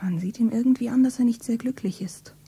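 Gossipy shopgirl sidekick, radio drama; confiding, extremely husky, mellow voice. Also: a little high, brisk. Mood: awed, confiding. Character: gossipy, observant young shopgirl colleague. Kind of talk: radio play acting.

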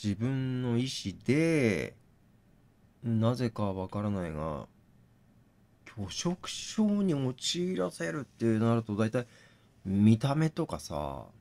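A man doing read-aloud game commentary, musing and deliberating as he thinks out loud. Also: medium pitch, sing-song, takes it slowly. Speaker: gaming channel host.

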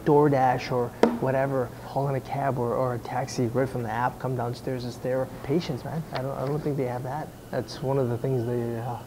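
A man's voice: mid-range voice, flat delivery, normal speed, few pauses, noisy background. A man in his forties answering business questions in a casual, blunt way, often restarting his sentences, recorded on a camera indoors. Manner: blunt, conversational, frequent restarts. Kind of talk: casual Q&A chat. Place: indoor atrium of a mall or coffee shop. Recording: music under the voice. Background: background music.

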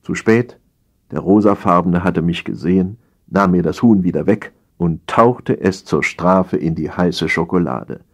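Male audiobook narrator for comic satire: lowish, rough-edged husky voice, theatrical delivery. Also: a little slow. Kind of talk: scripted humorous narration.

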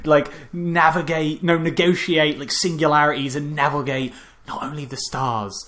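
Profane, rambling podcast host. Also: male voice, a little high, fairly even intonation, normal speed, fairly bright tone. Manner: rambling, profane, stumbles and restarts. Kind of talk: casual film review chat.

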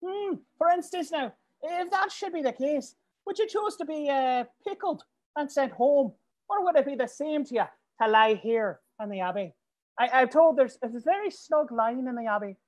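A woman's voice: high-pitched, expressive, moderate pace, a little mellow. A woman voicing a cheerfully morbid male knight eager for a duel in a stage play. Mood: genial.

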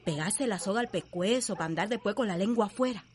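A woman's voice: mid-range voice, fairly quick, close to an everyday voice. An actress playing a blunt, outspoken servant woman with a Cuban accent in a radio soap opera. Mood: sharp, insistent.

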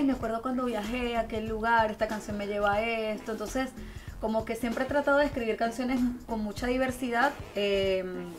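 A woman with a Venezuelan accent chatting and telling stories expressively as a guest in a radio interview. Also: mid-range voice. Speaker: guest singer and songwriter. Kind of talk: casual radio interview storytelling. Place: radio studio. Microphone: close studio microphone with headphones.

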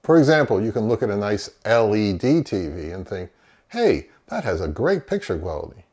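American man with very lively pitch swings. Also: normal speed, breathy edge. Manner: emphatic, opinionated, rhetorical questions answered himself. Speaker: audio reviewer and host. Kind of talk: semi-scripted product review to camera. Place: small indoor home office.

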